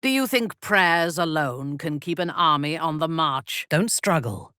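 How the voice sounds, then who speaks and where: An actress playing a haughty, ruthless dark elf warrior in a video game, speaking in an imperious, measured, menacing way. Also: female voice, sits low, expressive, no echo, clean studio sound, full character voice. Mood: cold, commanding. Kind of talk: video game character voice lines.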